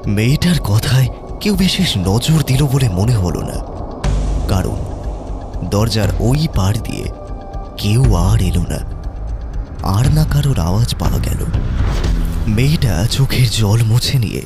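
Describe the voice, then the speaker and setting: একজন পুরুষ কথক, টানটান রহস্যময় ভঙ্গিতে ধীরে ধীরে ভৌতিক গল্প বলেন।. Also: male voice, fairly low pitch, big pitch swings, gravelly. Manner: suspenseful, measured. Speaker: narrator. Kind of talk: dramatic horror storytelling. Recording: music behind voice.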